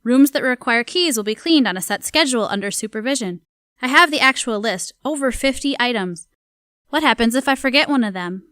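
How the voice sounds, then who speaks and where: A woman's voice: a little high, lively intonation, brisk, pushed and bright, clear, resonant tone, no room sound, studio quality. A woman playing a dutiful, nervous housemaid confiding in her journal in an audio drama, speaking earnestly. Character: dutiful, nervous young housemaid at a grand mansion.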